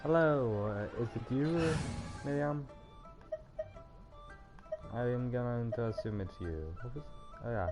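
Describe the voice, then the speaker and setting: A man's voice: medium pitch, slow pace, pauses often. A man livestreaming a game with casual commentary and wry asides to himself. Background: game music.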